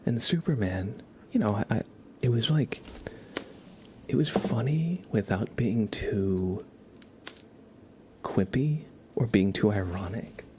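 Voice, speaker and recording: A man doing casual ASMR live-stream chat in a soft, whispery, hushed voice, rambling informally. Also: on the low side, takes it slowly, long pauses, background noise.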